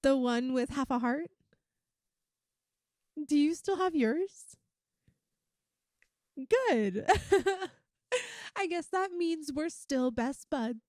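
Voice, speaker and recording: A woman's voice: high voice, lively intonation, very slow, long pauses, a little mellow, no room sound, clean studio sound, exaggerated voice. A woman voicing a playful, affectionate young lamia best friend in an intimate, chatty ASMR roleplay. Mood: warm, reflective. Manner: teasing, chatty, giggly. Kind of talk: ASMR roleplay, one-sided intimate conversation.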